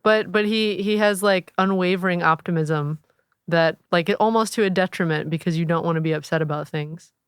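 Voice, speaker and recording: A woman's voice: lowish voice, fairly quick, smooth, no echo, clean studio sound. A woman co-hosting a podcast, teasing her friends in casual chat full of "like" fillers. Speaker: podcast co-host.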